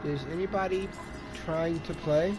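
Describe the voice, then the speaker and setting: A man's voice: a little high, steady tone, measured pace. A man with a slight British accent talking casually over his solo gameplay, asking for company and now and then laughing and whooping. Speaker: gamer streaming solo play. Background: game music.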